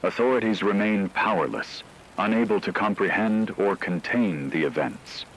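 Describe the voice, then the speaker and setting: Man acting a deep, grave radio horror newsreader. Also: moderate pace, a little hiss or hum, lightly acted, rough recording. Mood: grave, ominous. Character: grave male radio news reporter covering a supernatural catastrophe. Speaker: radio reporter. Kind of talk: scripted horror radio news narration.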